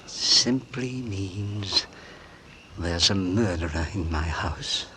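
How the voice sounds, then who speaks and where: An actor playing a married English gentleman who fears poisoning, speaking formally and deliberately in 1940s radio suspense style. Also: sits low. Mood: grim. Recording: noisy vintage radio drama recording.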